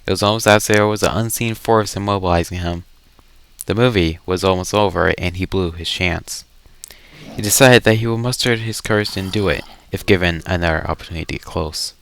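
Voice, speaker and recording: Low, gravelly man reading a story aloud. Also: fairly quick, fairly bright tone. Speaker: reader of a fan story. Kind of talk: dramatic read-aloud narration with casual asides.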